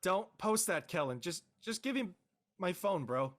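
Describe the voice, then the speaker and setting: A man playing an exasperated, reluctant high-school boy in an amateur script reading, with a whiny, protesting manner. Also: animated, a little slow, a bit bright. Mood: pleading. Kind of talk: amateur audio drama table read.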